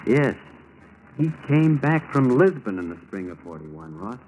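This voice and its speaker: An American man playing a determined, methodical FBI agent in 1940s radio drama style, with a brisk, clipped, businesslike manner. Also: medium pitch, very animated, lo-fi sound. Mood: intent, determined. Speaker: actor in a radio crime drama. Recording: noisy 1940s radio broadcast recording.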